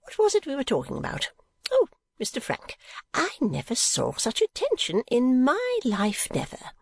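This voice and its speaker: A woman's voice: sits high, wildly swooping pitch, normal speed, breathy edge, dry recording, voice pushed up, exaggerated voice. A woman voicing a garrulous, gushing, easily affronted middle-aged mother in an audiobook, emphatic and exclamatory. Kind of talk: audiobook character acting.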